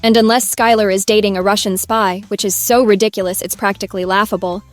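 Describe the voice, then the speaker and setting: A woman's voice: medium pitch, fairly even intonation, fast, faint music behind, natural voice. Woman as a weary, sensible cartoon analyst. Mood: exasperated, dry. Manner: matter-of-fact, exasperated. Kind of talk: animated comedy voice acting.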